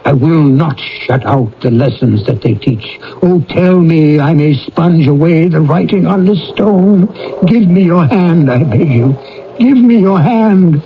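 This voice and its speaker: An actor playing a reformed old miser in a theatrical radio drama, with an emotional, exclamatory delivery. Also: male voice, a little high, animated, unhurried, slight background noise. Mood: desperate pleading. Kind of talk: theatrical radio drama acting with first-person narration. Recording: muffled old radio broadcast with music. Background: music.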